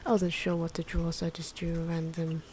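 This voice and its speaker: A soft-spoken woman giving ASMR drawing commentary, often slipping into a breathy whisper, with hesitant, unhurried phrasing. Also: lowish voice, completely flat delivery. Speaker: ASMR video creator narrating own drawing. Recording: noisy, pencil scratching and ambient sound. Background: pencil and pen noises, outdoor wind.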